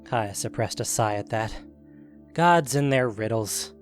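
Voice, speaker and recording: A man's voice: on the low side, expressive, measured pace, faint music behind. A man narrating a scripted fantasy story as the narrator of an audio production.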